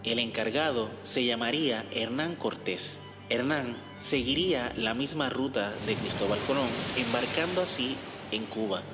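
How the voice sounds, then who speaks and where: A man's voice: mid-range voice, normal speed, hardly any pauses. Male narrator in long reverb over music. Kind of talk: scripted dramatic-history narration.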